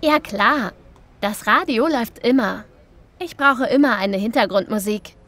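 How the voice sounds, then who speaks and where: Actress as informal younger female interviewee, raised register, relaxed chatty answers, scripted learner audio. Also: on the high side, a little slow. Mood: easygoing. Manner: casual, chatty. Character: easygoing, informal younger woman answering a street survey. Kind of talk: scripted language-lesson dialogue.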